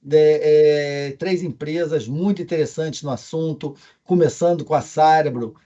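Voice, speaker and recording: A man with a Carioca accent, a company co-founder, speaking remotely over a video call to open an event, pausing often on fillers. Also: fairly high pitch, warm tone, highs cut off. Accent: Carioca Portuguese. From Brazil. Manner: hesitant, with frequent fillers. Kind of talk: presentation remarks.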